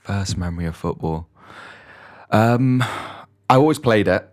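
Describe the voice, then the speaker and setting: A man's voice: lowish voice, animated, unhurried, darkish tone. A young man, a podcast guest from Dubai and London, talking casually and hesitantly with many restarts. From grew up mostly in Dubai, born in London. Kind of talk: casual interview chat.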